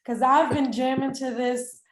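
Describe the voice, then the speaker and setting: A woman hosting an interview, gushing and effusive, with halting fillers. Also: high voice, fairly even intonation, roomy sound. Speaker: interview host. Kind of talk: enthusiastic interview chat.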